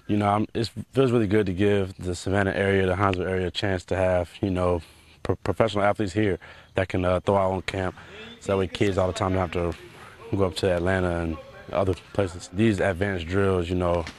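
A man's voice: low voice, level tone, brisk, slight background noise. A young man, a pro athlete, giving a casual interview answer. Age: young adult. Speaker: professional basketball player.

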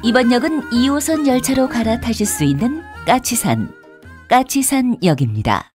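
A woman's voice: a little high, sing-song, normal speed, darkish tone, soft background music. A woman reading scripted subway announcements in a polite, formal and carefully enunciated way. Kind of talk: scripted public transit announcement.

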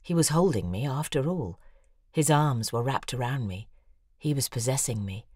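A woman playing an intense, obsessive lover in an audio drama, giving an intimate confessional monologue in a breathy, husky voice. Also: a bass voice, lively intonation, moderate pace, a little mellow, dry recording, clean studio sound, exaggerated voice. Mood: wistful, intimate.

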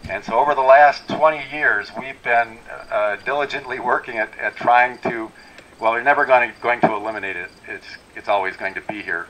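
Middle-aged tree farm owner, handheld mic in the woods; easygoing, full of "uh"s and restarts. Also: male voice, mid-range voice, fairly bright tone, poor audio. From Wisconsin. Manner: unhurried, plainspoken, many fillers and restarts. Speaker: tree farm owner giving a welcome talk. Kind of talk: informal outdoor talk to a tour group. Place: outdoors in a forest clearing. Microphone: handheld microphone.

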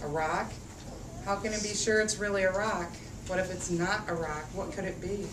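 A woman's voice: mid-range voice, fairly even intonation, normal speed. A woman reading a picture book aloud to children in a sing-song, emphatic way, drawing out rhymes and putting questions to her listeners. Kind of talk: animated picture-book read-aloud with call-and-response. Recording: roomy, distant mic, faint background noise.

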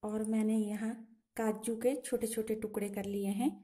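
A woman calmly talking through a home cooking recipe step by step, in a patient, instructive way. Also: mid-range voice, normal speed. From Himachal Pradesh, India. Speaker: home cooking channel host. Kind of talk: step-by-step cooking demonstration voice-over. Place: home kitchen.

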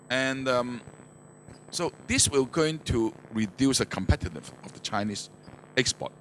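An older man speaking as a business panelist on a handheld microphone, explaining in non-native English phrasing with grammatical slips. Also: lowish voice. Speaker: manufacturer speaking as conference panelist. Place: conference stage, indoors, before an audience. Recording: noisy handheld mic in conference hall.

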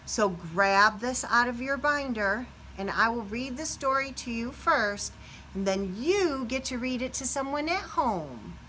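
Lively, bright-voiced American woman teaching children. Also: on the high side, measured pace, a little hiss or hum, poor audio. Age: middle-aged. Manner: animated, playful, exaggerated questions and reactions as to small children. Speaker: kindergarten teacher. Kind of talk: read-aloud lesson for young children. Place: small classroom, indoors.

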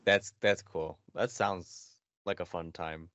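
A man in his thirties chatting casually as a podcast co-host, laid-back and halting, on slightly muffled call audio. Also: sits low, fairly even intonation, a bit bright, no room sound. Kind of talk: casual chat about video games. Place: small home room. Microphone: headset, video call.

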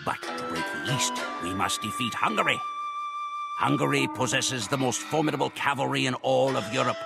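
A man's voice: mid-range voice, vocal fry. A man playing a proud, grave Mongol warrior who narrates conquests with solemn, theatrical storytelling in a video game. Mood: proud, urgent. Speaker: campaign narrator. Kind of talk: dramatic scripted game narration. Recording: music behind the voice.

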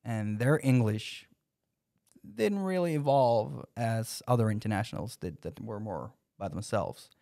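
A man's voice: lowish voice, lively intonation, a little slow, gravelly, dark tone, no echo, studio quality. A man co-hosting a podcast, asking conversational follow-up questions. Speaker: podcast co-host. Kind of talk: casual podcast interview. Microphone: desk podcast mic with headphones.

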